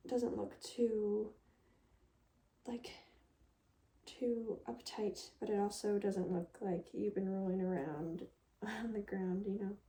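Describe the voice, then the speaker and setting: A woman speaks softly in an ASMR salon roleplay as a hair stylist. Her voice is extremely mellow and relaxed, with plenty of creaky fry at a medium pitch. She chats casually and gently, checking in with the client in a reassuring way. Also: takes it slowly, pauses often, rough recording. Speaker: ASMR creator role-playing a hair stylist. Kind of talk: soft-spoken ASMR roleplay.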